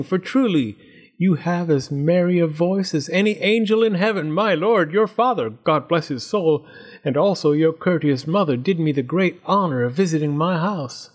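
A man voicing a sly, flattering male fox in a storybook, coaxing and smooth-talking. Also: high voice, voice pushed up.

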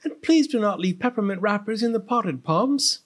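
A man's voice: sits high, animated, moderate pace, pitched above the performer's own voice. A man voicing a polite female palace maid in a storybook, with a proper, pleading manner. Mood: admonishing.

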